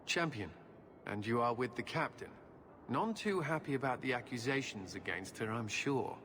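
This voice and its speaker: City guard, male actor, medium pitch, courteous and knowing, game role with noisy background. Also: animated, normal speed, lightly acted. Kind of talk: video game voice acting.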